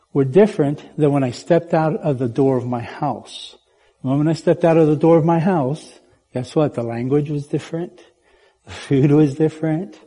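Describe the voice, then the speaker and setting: Playful preacher in an echoing church. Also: male voice, fairly high pitch, dark tone, breathy edge. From Puerto Rico. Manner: conversational, playful, engages the congregation with questions. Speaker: guest preacher. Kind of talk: sermon. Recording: church hall with long reverb.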